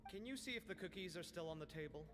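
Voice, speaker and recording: A man plays a dramatic, chatty young scholar in an anime game. His mid-range voice swings widely with feeling. Clear music plays behind, with some long reverb. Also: fairly high pitch, lively intonation, fast talker, lightly acted. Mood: fussy. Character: Kaveh, expressive, fussy young male scholar. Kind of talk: anime video game voice acting.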